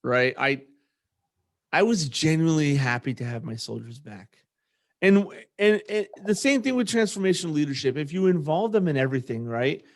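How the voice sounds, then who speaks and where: A man's voice: fairly high pitch, animated, normal speed. A man hosting a podcast in casual, conversational chat, talking informally as an army sergeant. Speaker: podcast host.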